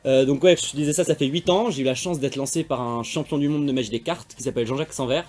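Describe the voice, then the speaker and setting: A man's voice: medium pitch, fast, a little mellow, soft background music. A young man from France, a magician guest, chatting casually in slangy, rambling talk. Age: young adult. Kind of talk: casual interview chat.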